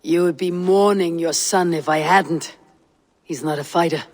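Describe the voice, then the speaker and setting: A woman's voice: fairly low pitch, unhurried, darkish tone, no echo. A resolute Viking warrior woman of a video game. She talks in short, commanding phrases and wastes no words, blunt and fearless. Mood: frank. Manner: curt, commanding. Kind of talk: video game cutscene acting.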